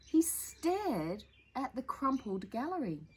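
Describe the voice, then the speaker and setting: A woman reads a picture book to young children with a very animated, swooping delivery. She takes her time, with a playful, theatrical emphasis and chatty asides, and her voice has a husky edge. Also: high voice, takes it slowly. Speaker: storytime presenter reading children's picture books.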